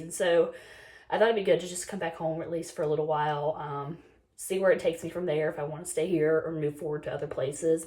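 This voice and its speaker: A woman's voice: low voice, darkish tone. A woman in her twenties, a bit sick and losing her voice, chatting casually through a makeup routine with lots of "like" and "um.". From North Carolina, US. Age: 20s. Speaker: beauty vlogger. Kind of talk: casual get-ready-with-me chat.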